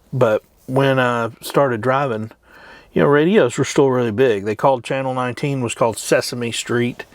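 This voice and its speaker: A middle-aged man chatting casually as a trucker vlogger, rambling and reminiscing with frequent hesitant pauses. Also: mid-range voice, a bit bright. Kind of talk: casual rambling chat to camera.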